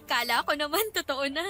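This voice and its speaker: A woman's voice: high voice, pushed and bright, heavily acted. An actress playing a feisty, playful young Filipino woman in a radio drama, bantering sassily and expressively with friends. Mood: amused. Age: young adult.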